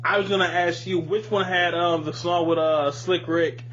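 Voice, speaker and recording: A man's voice: a little high, steady tone, normal speed, fairly bright tone. A man hosting a podcast, chatting casually and giving his opinions in informal, profane slang. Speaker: podcast host. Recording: muffled lo-fi audio with hum, hiss.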